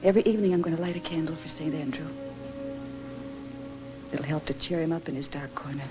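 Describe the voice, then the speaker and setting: A woman's voice: low voice, fast talker, lightly acted. An actress playing an anguished, lovelorn young woman in a 1940s radio drama, with an earnest, emotional and melodramatic delivery. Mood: subdued. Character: lovelorn, anguished young woman awaiting her soldier sweetheart. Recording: muffled vintage radio recording with music.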